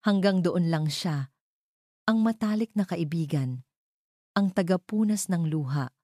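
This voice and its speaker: A woman narrating a romantic drama from a script in a measured, emotive storytelling manner. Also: low-pitched, dark tone, no echo, studio quality.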